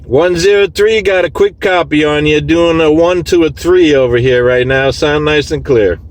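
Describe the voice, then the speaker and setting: A man's voice: on the high side, normal speed. A man working as a CB radio operator, talking casually in clipped radio lingo from inside a vehicle. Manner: clipped radio lingo, repeats call sign. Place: inside a vehicle, mobile unit. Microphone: handheld phone recording in the vehicle. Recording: noisy phone recording of CB radio session. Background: clear radio and vehicle noise.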